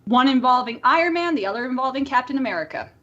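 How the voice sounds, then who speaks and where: A woman with a high voice chats casually about films as a podcast co-host. She is emphatic and opinionated, and tends to ramble through her points. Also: expressive, fast, a bit bright, muffled recording. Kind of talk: casual opinionated film discussion.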